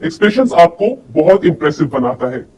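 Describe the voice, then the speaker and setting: A woman's voice: low-pitched, very animated, brisk, mellow. A woman giving an instructional lesson as a voice coach, speaking directly to listeners and posing questions to them. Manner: addresses listeners directly with demonstrations and rhetorical questions. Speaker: voice and personality development coach. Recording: distant microphone, faint background noise.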